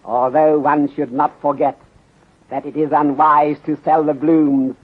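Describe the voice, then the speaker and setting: A courteous, methodical Chinese detective from an old radio serial. He speaks in formal, deliberate, clipped phrases with a slightly raised, husky voice, through a muffled 1930s recording. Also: on the high side, level tone. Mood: polite, instructing.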